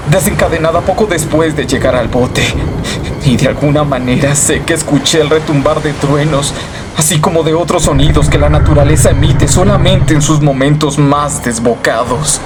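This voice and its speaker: A man's voice: fairly high pitch, background noise, lightly acted. A man playing a tormented narrator sliding into madness, telling his story in a solemn, theatrical way in a horror radio play. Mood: haunted recollection. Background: music.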